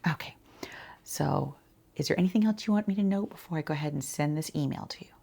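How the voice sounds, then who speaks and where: A woman talking quietly in an ASMR shop roleplay, gentle and chatty, with a soft, breathy voice that often drops toward a whisper. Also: low-pitched, fast talker, a little hiss or hum. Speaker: ASMR roleplayer as boutique shopkeeper and channel host.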